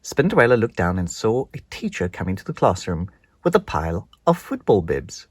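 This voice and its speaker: A children's read-aloud narrator with a slightly husky voice and a close, dry sound. The delivery is slow and hugely expressive, rising and falling across each phrase. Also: sits low, no room sound. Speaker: storybook narrator.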